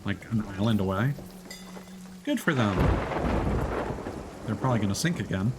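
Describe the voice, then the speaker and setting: A man's voice: fairly low pitch, expressive, normal speed, heavy background noise. A man casually commenting on his gameplay as a streamer, chatting through tips with many fillers.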